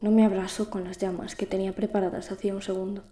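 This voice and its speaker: A woman's voice: mid-range voice, little pitch movement, fast, dark tone, roomy sound, faint music behind, close to an everyday voice. A young woman playing a lonely, frightened teenage girl who narrates her ordeal in an emotive audio drama. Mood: awed, subdued. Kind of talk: dramatic first-person audio fiction narration.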